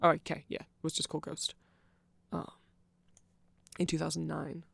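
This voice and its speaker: A man rambles through an ASMR explainer in a heavily fried, gravelly voice that sits a little high for a male speaker. He is nerdy and discursive, doubling back to correct himself and checking in as he goes. The sound is very dry and close. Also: little pitch movement, moderate pace, a bit bright, dry recording. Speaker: ASMR creator.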